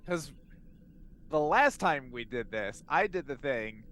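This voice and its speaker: A man talking casually as a player in a tabletop game, informal and thinking out loud. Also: mid-range voice, lively intonation, takes it slowly.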